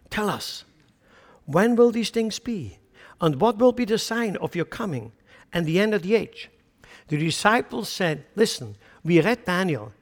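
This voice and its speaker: A man's voice: sits high, a bit bright, in a large hall. A man from central Switzerland with a Swiss German accent, preaching a sermon with emphatic rhetorical questions. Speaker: pastor.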